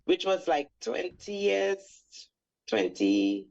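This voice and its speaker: A woman's voice: lowish voice, very animated, slow pace, vocal fry, darkish tone, lo-fi sound. A woman in her forties from Trinidad with a Trinidadian accent, a reggae singer guest recounting her life in a reflective, storytelling way with many fillers. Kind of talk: radio interview.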